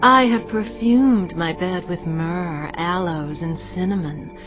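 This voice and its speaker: Seductive, brazen temptress for scripture audio drama: very slow, coaxing, lowish voice. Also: female voice. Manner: persuasive smooth talk, slow and coaxing. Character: seductive, brazen married temptress luring a youth. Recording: muffled, music prominent behind voice. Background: prominent background music.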